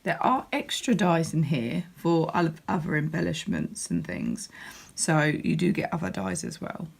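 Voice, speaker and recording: A woman with a croaky voice, chatting casually as she walks through a crafting catalogue, with lots of ums and restarts. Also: sits low, very animated, measured pace. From United Kingdom. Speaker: crafting demonstrator. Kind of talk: casual product walkthrough.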